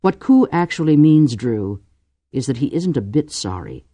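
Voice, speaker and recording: A woman voicing a brisk, commanding noblewoman who plays matchmaker with wry teasing. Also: a bass voice, big pitch swings, mellow, dry recording, exaggerated voice. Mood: coaxing.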